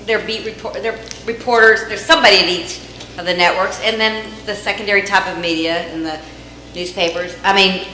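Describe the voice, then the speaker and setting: Audience member, woman, loud, bright and forceful voice, rambling, echoey room. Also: mid-range voice, fairly even intonation, a little fast, a little hiss or hum. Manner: rambling, searches for words. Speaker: audience member asking a question. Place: meeting room.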